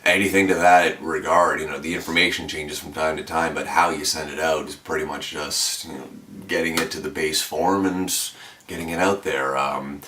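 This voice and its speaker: A man in his twenties with a notably deep, low voice talks through his radio career in an easy, reflective way, with the odd "um." The sound is roomy and echoey, as if the mic sits a few feet away. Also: normal speed, a little hiss or hum. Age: 20s. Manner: relaxed, reflective, with fillers. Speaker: radio announcer. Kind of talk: documentary interview. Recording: echoey room, mic at a distance.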